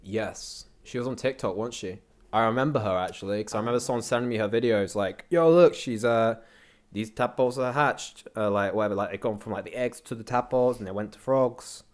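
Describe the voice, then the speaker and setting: A man's voice: on the low side, steady tone, fast talker. A young British man chatting casually as an interview guest, telling anecdotes in a slangy, rambling way. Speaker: interview guest, content creator.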